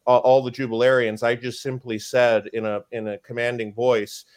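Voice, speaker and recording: Booming-voiced priest, emphatic and indignant. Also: male voice, little pitch movement, normal speed. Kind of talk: casual conversation on a live stream.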